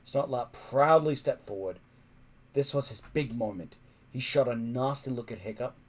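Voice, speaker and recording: A man's voice: medium pitch, normal speed. A man reading a children's story aloud in a dramatic, emphatic narrating style. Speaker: audiobook-style story reader.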